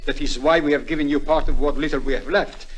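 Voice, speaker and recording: Man playing menacing rebel general in a radio play, spanish accent, polite but threatening, slightly high voice. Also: fairly even intonation, lightly acted. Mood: quietly threatening. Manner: formal, deliberate, coolly threatening. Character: menacing, courteous Latin revolutionary general. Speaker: supporting actor. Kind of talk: radio drama acting.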